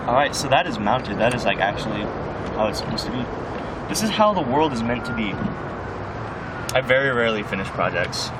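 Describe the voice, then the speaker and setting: A man's voice: mid-range voice, lively intonation, a little fast, long pauses. A man chatting casually and easygoingly, with some self-deprecation, while doing hands-on installation work in or beside a car. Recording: loud vehicle and outdoor background noise.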